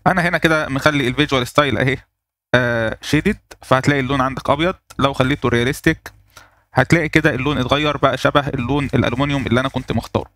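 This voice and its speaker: A man with an Egyptian Arabic accent walking through a software tutorial step by step, pausing on fillers as he works. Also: medium pitch, fairly even intonation, dry recording. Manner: explains step by step, thinking aloud with fillers. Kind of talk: screen-recorded software tutorial.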